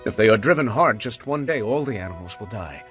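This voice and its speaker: An actor playing a humble, deferential biblical patriarch who speaks earnestly in a dramatized audio Bible. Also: male voice, lowish voice, lively intonation, fairly quick, a bit bright, soft background music. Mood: gently deferential.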